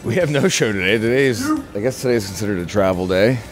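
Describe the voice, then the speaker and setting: A man chats at an airport, tired and rambling, like a touring wrestler on little sleep. He speaks at a middle pitch. Clear music plays under his voice. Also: fast talker. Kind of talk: vlog-style chat.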